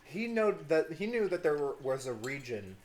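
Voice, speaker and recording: A man's voice: a little high, sing-song, moderate pace, darkish tone. A man co-hosting a casual podcast, joking and rambling through history talk at a table indoors. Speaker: podcast co-host. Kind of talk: casual banter.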